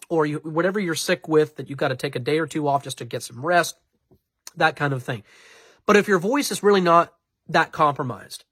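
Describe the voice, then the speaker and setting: A man with a slightly high, nasal voice, stuffed up from congestion. He talks straight to his viewers in an informal advice style, wandering and rewording as he goes. The sound is dry, with hardly any room in it. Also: fairly bright tone, no room sound. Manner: rambling, self-correcting, repeats himself for emphasis. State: congested from allergies and sinus trouble. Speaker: voiceover artist giving advice.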